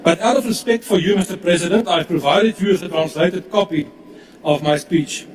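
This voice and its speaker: A man whose first language is Afrikaans, giving a measured, respectful address in parliament. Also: sits high. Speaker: opposition member of parliament. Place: large parliament chamber. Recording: echoey hall from far off.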